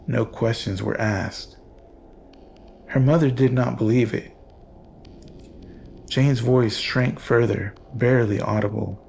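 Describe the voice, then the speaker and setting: A man narrates a horror tale in a creaky, gravelly voice. His delivery is very slow, grave and ominous, and the recording sounds dull with a little noise behind it. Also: medium pitch, darkish tone. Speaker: narrator and archivist host.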